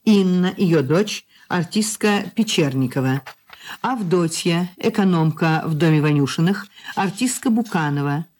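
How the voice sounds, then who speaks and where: A woman radio announcer reading credits in a measured, formal, scripted style. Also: lowish voice, lively intonation, darkish tone.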